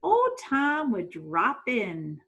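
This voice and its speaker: Slow, expressive woman reading to children. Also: a little high. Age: middle-aged. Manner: expressive, addresses young listeners with questions. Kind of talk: read-aloud storytime for children. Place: small room at home.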